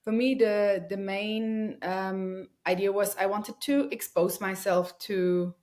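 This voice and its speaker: A woman's voice: mid-range voice, steady tone, slow, a little mellow. Chatty German-born woman guest. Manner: chatty, frequent fillers and restarts, enthusiastic. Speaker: guest, company founder. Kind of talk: casual conversational interview.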